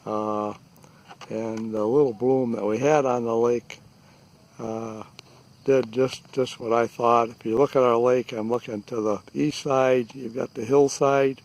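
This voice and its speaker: A man giving a halting, deliberate, matter-of-fact informal field narration outdoors, recorded on a handheld device. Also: medium pitch, steady tone, darkish tone, poor audio. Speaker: lake resident surveying an algae bloom. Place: outdoors, out on a small lake. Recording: faint outdoor background noise.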